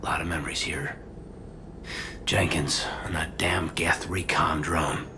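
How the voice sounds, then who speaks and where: A man acting a sober, loyal, reflective officer in a video game, serious and breathy. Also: a bass voice, steady tone, unhurried, background noise. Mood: somber. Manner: subdued, serious.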